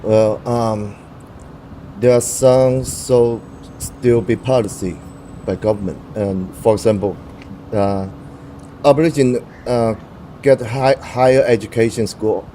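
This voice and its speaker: A man's voice: mid-range voice, fairly even intonation, dark tone, a little hiss or hum, poor audio. A young Taiwanese man whose first language is Mandarin, answering interview questions in English and stopping often to restart his words. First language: Mandarin Chinese. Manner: halting, searching for words with frequent restarts.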